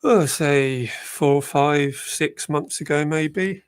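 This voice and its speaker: A man's voice: on the high side, extremely slow, smooth, no echo, studio quality. A man with a cold, chatting hesitantly as a guest on a live stream. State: bunged up with a cold. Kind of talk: casual chat.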